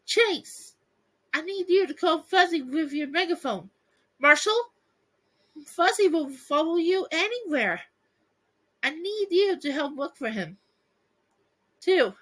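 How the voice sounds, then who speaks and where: A woman voicing an earnest cartoon boy leader in a storybook, giving instructions in a deliberate manner. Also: very high voice, lively intonation, fairly bright tone, heavily acted. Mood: urgent.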